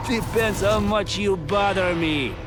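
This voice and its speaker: A menacing thug, taunting and slow. His voice is slightly high and drags out the words, with game music clearly behind it. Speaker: video game villain. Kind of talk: scripted game dialogue.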